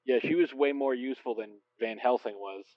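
A man's voice: mid-range voice, brisk. A man chatting casually as a podcast co-host. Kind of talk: casual chat. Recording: dull, lo-fi microphone sound.

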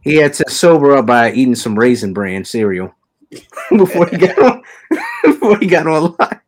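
A man's voice: on the high side, wildly swooping pitch, normal speed. A man hosting a casual podcast, teasing his co-hosts and laughing often. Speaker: podcast host.

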